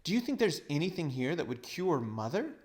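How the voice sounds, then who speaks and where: A man's voice: on the high side, big pitch swings, a little fast, voice pushed up. A man voicing an earnest, hot-tempered young boy in a storybook, speaking with urgency and passion. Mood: hopeful. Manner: urgent, impassioned. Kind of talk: storybook read-aloud character voice.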